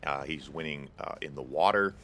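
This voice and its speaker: A man's voice: a bass voice, normal speed, a bit bright, slight background noise. A young man hosting a tournament stream, giving casual play-by-play commentary on a strategy game with lots of fillers and asides to chat. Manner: casual and chatty, many fillers, jokes with chat. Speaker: tournament host and caster. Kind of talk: live esports play-by-play commentary.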